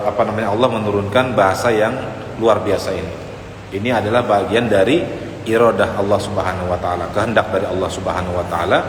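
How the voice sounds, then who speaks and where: A man preaching an informal Islamic lecture in a conversational way, addressing his listeners directly and mixing in Arabic phrases. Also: on the low side. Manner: sprinkles in Arabic phrases and quotations. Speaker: Islamic preacher teaching a congregation. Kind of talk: informal religious lecture on the Prophet's biography. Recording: echoey hall with faint background noise.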